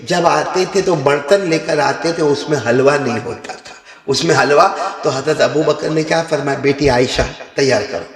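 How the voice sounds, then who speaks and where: A male Islamic preacher tells a story as a sermon, animated and given to sudden high rises. His voice sits fairly high. It rings through a large, roomy hall with a long reverb. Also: normal speed.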